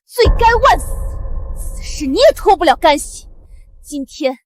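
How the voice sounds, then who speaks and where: A woman's voice: high voice, sing-song, unhurried. An actress playing an arrogant, cruel pregnant noblewoman who talks down to everyone in a haughty, sneering way. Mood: scornful. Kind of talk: costume drama acting.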